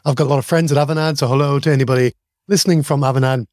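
Male podcast host, mid-pitched, dry studio sound, friendly interviewer. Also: fairly even intonation, rapid-fire, a little mellow, no echo. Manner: friendly, scripted intro then conversational questions.

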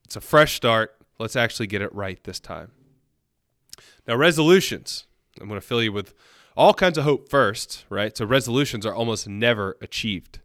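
Bright-voiced man, nasal with a cold. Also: on the low side, animated, normal speed, dry recording, studio quality. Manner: upbeat, earnest, encouraging. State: has a cold, a bit nasal. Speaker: podcast host. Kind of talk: solo motivational podcast talk.

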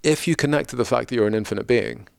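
A man's voice: mid-range voice, fast talker, vocal fry, dry recording, clean studio sound. A middle-aged man from of Lebanese background, talking earnestly as a spiritual mentor on a podcast, often restarting his sentences. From Lebanese, grew up in London. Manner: thoughtful, earnest, frequent restarts. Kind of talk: reflective podcast conversation.

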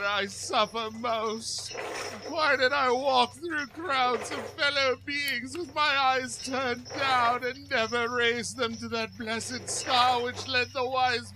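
A man's voice: very high voice, background noise. An actor playing the tormented ghost of a remorseful dead businessman in a radio play, declaiming solemnly and wailing in anguish. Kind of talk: theatrical radio play acting.

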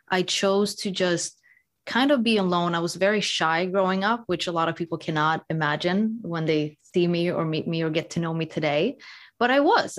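A woman from Sweden speaks as an interview guest in a lowish voice. She tells her story thoughtfully and evenly, taking her time over each point. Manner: reflective, measured storytelling. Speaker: podcast guest. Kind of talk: conversational interview.

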